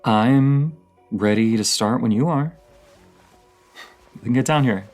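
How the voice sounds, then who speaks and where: A man playing a dorky, playful partner in an intimate audio roleplay, rambling, giggly and teasing, with a slightly creaky voice. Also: medium pitch, slow, a little mellow, faint music behind, natural voice. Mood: sheepish, affectionate. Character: dorky, playful young romantic partner, horror film nerd.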